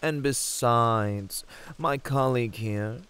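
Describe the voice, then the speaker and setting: A man's voice: mid-range voice, takes it slowly, fairly bright tone, smooth. A man playing a smug, domineering demon with a British accent, teasing and purring theatrically in a flirtatious audio roleplay. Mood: taunting.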